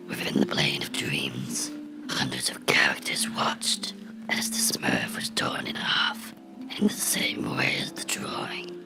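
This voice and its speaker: Guy doing a bitter creepy cartoon character whispering horror asmr, super slow and menacing, breathy gravel, music clearly underneath. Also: extremely low pitch, exaggerated voice. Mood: ominous, brooding. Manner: slow, deliberate, brooding storytelling with dramatic pauses. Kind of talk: horror ASMR role-play monologue.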